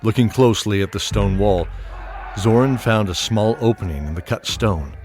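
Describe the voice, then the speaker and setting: A man narrating a fantasy audio drama in a steady, descriptive manner. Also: fairly low pitch, moderate pace, soft background music. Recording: loud score behind.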